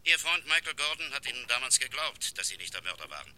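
An assured state prosecutor, with a very sharp, bright voice and quick, animated delivery. He sounds official and insistent, as in a classic crime radio play. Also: mid-range voice. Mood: assured, pressing. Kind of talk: radio crime drama acting.

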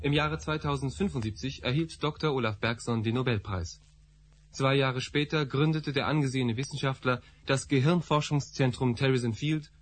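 A man's voice: medium pitch, slight background noise, lightly acted. A man playing a formal broadcast announcer. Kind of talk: scripted narration.

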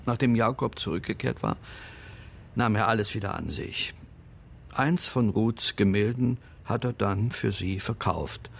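An actor playing a formal, discreet older man in a radio drama, speaking in measured phrases with a gravelly voice. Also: lowish voice, slight background noise. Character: formal, discreet older male confidant recounting family secrets.

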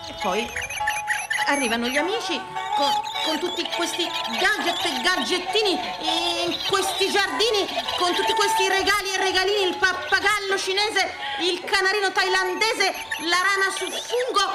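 A woman's voice: extremely high pitch, moderate pace, loud music behind the voice. A woman delivers a theatrical comic monologue in a satirical, emphatic way, stringing words into incantatory, repeated lists. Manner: satirical, exclamatory. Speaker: solo stage actress. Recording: roomy, echoing stage sound.

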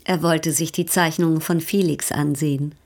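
A woman's voice: sits low, steady tone, normal speed, darkish tone. A woman playing a coquettish, ironic baroness in a German radio drama, poised and teasing. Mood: dry, amused.

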